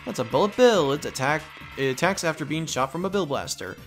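A man's voice: a little high, lively intonation, brisk. A man hosting a let's play with casual, goofy, rambling gameplay commentary. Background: video game music.

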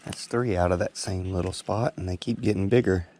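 A man with a very low, gravelly voice mutters casual running comments to himself as he fishes. He is out on a river in a kayak, and a head-mounted action camera picks him up. Faint outdoor noise sits behind him. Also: fairly quick, darkish tone. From Tennessee. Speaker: fishing guide.

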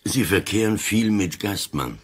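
An older man playing a shrewd, dry old police inspector in a radio play, speaking in an unhurried, husky voice. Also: on the low side, expressive. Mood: probing. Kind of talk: 1980s radio play acting.

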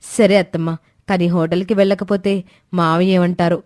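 A woman voicing a young daughter-in-law, respectful but plain-spoken, in an animated moral story. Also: fairly low pitch, moderate pace, no room sound, clean studio sound, lightly acted. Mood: politely defensive.

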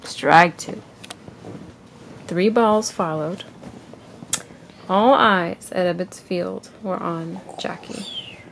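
A woman reading a picture book aloud to a child at home and asking instructive questions in a deliberate way. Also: lowish voice, vocal fry, a little hiss or hum. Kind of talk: expressive read-aloud and gentle questioning.

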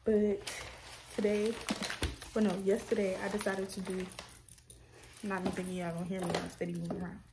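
A woman's voice: mid-range voice, flat delivery, brisk, pauses often, noisy background. Chatty young woman rambling to camera. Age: young adult. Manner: rambling, self-correcting, chatty with viewers. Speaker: vlogger. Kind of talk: casual chat to camera. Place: small room at home, indoors.

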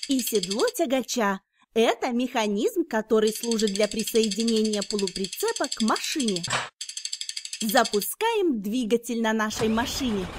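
A woman's voice: fairly high pitch, noisy background. A woman narrating a children's educational cartoon, explaining things simply in scripted storytelling. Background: background music.

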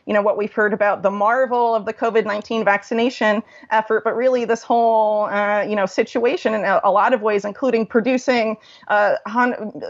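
Woman, medium pitch, interviewed researcher giving informal explanations. Slightly dull, low-fidelity call sound.

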